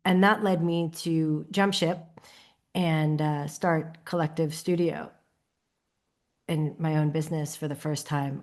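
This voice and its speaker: Woman in her 30s, New York accent, low-pitched, expressive guest voice. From New York City. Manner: expressive storyteller, lively rises and laughs. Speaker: podcast guest, creative studio founder. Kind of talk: conversational interview. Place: lives in Copenhagen.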